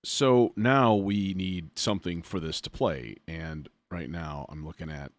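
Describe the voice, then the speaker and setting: Very deep-voiced man, slow and muttering. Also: dry recording. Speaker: music production tutorial host. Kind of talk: casual live demo, thinking aloud.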